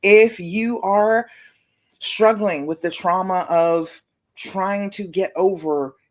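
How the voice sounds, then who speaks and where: Deep-voiced woman coaching on a livestream. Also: slow pace. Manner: warm, chatty, reassuring, tag questions like "okay?". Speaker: relationship coach. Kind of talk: casual live-stream coaching talk. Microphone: phone live stream.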